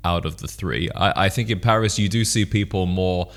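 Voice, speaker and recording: Deep-voiced man hosting a conversational podcast. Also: moderate pace. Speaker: podcast host. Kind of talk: conversational interview.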